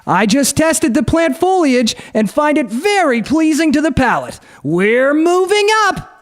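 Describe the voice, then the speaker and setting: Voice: sits high, sing-song, unhurried. An actor playing a commanding, theatrical beetle colonel in a radio drama. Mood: bossy, rallying. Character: bossy Colorado beetle colonel rallying the swarm.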